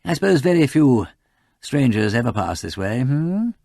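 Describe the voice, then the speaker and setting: A man voicing a breezy, polite aristocratic gentleman in a story, rambling on in an upper-class English accent. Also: medium pitch, very animated, normal speed, vocal fry, dry recording, clean studio sound, voice pushed up.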